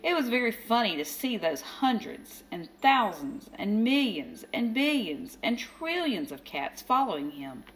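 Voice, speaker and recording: A woman reading a children's picture book aloud as storyteller, expressive, with dramatic emphasis. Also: a little high, unhurried, hardly any pauses, rough recording. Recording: faint background noise.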